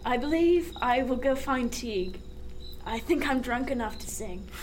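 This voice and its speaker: A woman playing a wry, philosophical sailor in a radio drama, speaking in a measured, reflective way with frank skepticism. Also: a little high, expressive, a little hiss or hum, close to an everyday voice. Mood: tipsy, fond.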